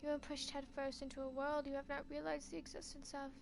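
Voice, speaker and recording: A woman reads a story game's text aloud in second person, steady and plain, with light asides now and then. Her voice sits high. Loud game music plays behind her. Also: a little fast, creaky.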